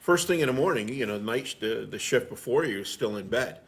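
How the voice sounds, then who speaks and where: A man's voice: mid-range voice, animated, brisk. A middle-aged man, a firefighter, chatting casually and telling stories in a blunt, salty way. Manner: blunt, salty everyday talk. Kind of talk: casual conversational storytelling.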